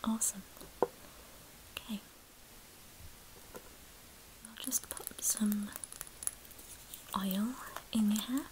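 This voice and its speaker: Slow whispering young woman, ASMR. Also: medium pitch, fairly even intonation, poor audio. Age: 20s. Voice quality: breathy whisper. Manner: soothing, gentle, attentive to the listener. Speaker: ASMR creator playing a hairdresser. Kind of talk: whispered ASMR haircut roleplay.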